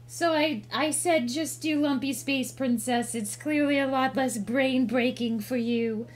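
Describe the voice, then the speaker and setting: High-pitched, playful woman streamer. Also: unhurried. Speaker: livestream host chatting with viewers. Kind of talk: casual livestream chat.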